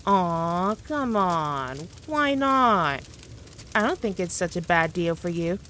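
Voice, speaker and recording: A woman's voice: on the low side, very animated, slow, fairly bright tone, a little hiss or hum, heavily acted. A woman playing a powerful demon queen in a scripted roleplay, talking down to the listener in a teasing, dominant, condescending way. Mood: coaxing. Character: haughty, playful, all-powerful female demon queen.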